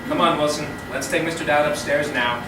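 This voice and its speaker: Male actor as patient questioning psychiatrist, stage comedy, slightly high voice, distant pickup. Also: steady tone, brisk, natural voice. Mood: inquiring. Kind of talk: theatrical comedy acting. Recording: distant, echoey, noisy stage recording.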